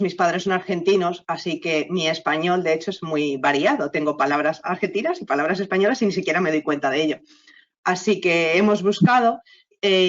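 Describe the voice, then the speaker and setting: A woman with a lowish voice explains a textbook's approach at length, leaning on small fillers as she goes. The sound is dull and muffled, like a video call. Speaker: textbook co-author. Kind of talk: online panel talk.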